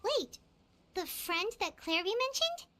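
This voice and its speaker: A woman voicing a small, childlike, curious companion creature in a cartoonish video game, earnest and expressive. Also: extremely high pitch, slow pace, exaggerated voice. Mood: concerned. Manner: inquisitive, expressive.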